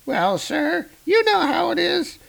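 A scheming old widow who peddles hunting dogs, put on by a man reading a comic aloud. Her voice is shrill and gravelly, swooping up and down as she fawns and wheedles. She sounds like a poor, grasping storybook crone. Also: very animated, slow pace, fairly bright tone, exaggerated voice. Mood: wheedling.

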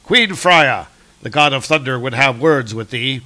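A man's voice: mid-range voice, animated, unhurried, bright, forceful tone. A man voicing a proud, grave warrior god of thunder with declamatory, heroic speech for a comic-book story. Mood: urgent.

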